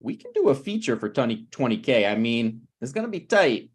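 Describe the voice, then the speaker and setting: A man playing a brazen, scheming slacker screenwriter in a table read of a TV pilot, blunt and presumptuous with halting phrasing. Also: mid-range voice, very animated, lightly acted. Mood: blunt, self-assured.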